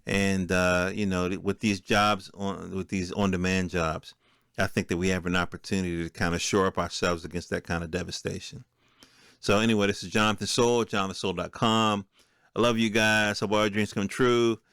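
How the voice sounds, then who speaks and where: Low-voiced man talking casually, close and dry. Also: flat delivery, moderate pace, fairly bright tone, clear, resonant tone, dry recording. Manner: streetwise, colloquial, peppered with profanity. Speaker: podcast host. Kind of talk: casual, unscripted solo monologue.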